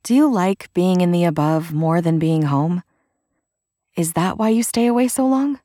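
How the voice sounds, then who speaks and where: A stubborn young sister from a fantasy audiobook acted by a woman speaks in a fairly low voice. She is earnest, wistful and challenging, recorded dry and close. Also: animated, normal speed, mellow, no echo, lightly acted.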